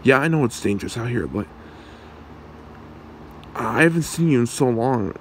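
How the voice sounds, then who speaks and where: A man's voice: mid-range voice, animated, a little hiss or hum, natural voice. A man playing a shy, awkward young man smitten with his friend, speaking hesitantly in an ASMR roleplay. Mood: flustered, friendly. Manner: hesitant, halting, soft-spoken.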